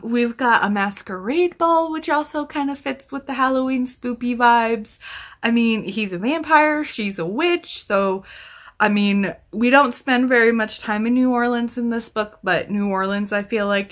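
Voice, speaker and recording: A woman's voice: on the high side, unhurried, a bit bright. A woman in her thirties chatting casually and effusively as a book vlogger, her throat a little scratchy from talking. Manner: enthusiastic, effusive. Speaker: book reviewer, video host. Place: small indoor room at home with bookshelf.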